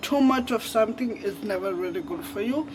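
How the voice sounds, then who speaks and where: A man's voice: sits high, expressive, a little fast. A twenty-year-old man from Zimbabwe, vlogging in a casual, rambling, candid way, with lots of fillers and the odd swear word. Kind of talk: casual reflective chat to camera. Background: faint crickets and insects.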